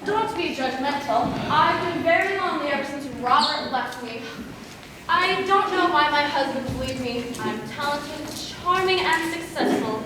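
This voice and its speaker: Echoey distant stage recording, woman acting as self-absorbed film diva in her forties, high voice, theatrical, grand. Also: lively intonation, unhurried, background noise. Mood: defensive, self-pitying. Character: vain, self-dramatizing movie star woman in her forties. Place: stage in a large hall, far from microphone.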